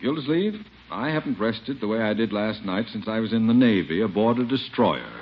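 An actor playing a haughty, demanding middle-aged gentleman in a 1950s radio sitcom, pompous and sarcastic. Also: fairly low pitch, expressive, brisk, natural voice. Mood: dry sarcasm. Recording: muffled old radio recording, faint noise.

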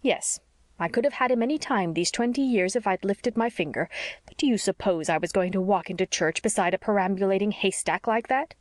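A woman voicing a blunt, sharp-tongued older spinster in an audiobook story, with a brisk, scolding manner. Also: mid-range voice, no echo, close to an everyday voice. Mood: tart.

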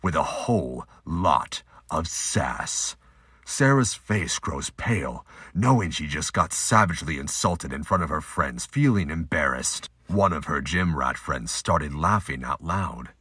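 A man narrating a scripted amateur audio drama with a husky voice. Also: a bass voice, lively intonation, a little slow, pushed and bright, no room sound, clean studio sound.

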